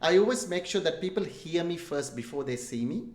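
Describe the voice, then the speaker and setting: A man's voice: a little high, animated, brisk, darkish tone. A middle-aged man from India with an Indian English accent touched by Australian, telling jokey, rambling stories as an interview guest. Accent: Indian English with Australian influence. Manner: rambling, self-deprecating, jokey storytelling. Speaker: guest entrepreneur. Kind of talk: casual interview talk. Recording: roomy echo.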